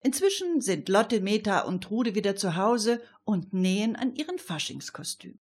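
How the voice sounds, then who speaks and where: A woman's voice: medium pitch, big pitch swings, moderate pace, breathy edge. A woman telling a radio crime story in a colloquial storytelling style, as its narrator.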